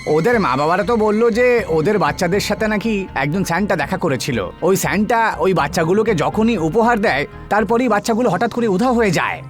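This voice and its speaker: Man playing a high-pitched deferential police constable. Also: animated, fairly quick, faint music behind. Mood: deferential, wary. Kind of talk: animated story voice acting.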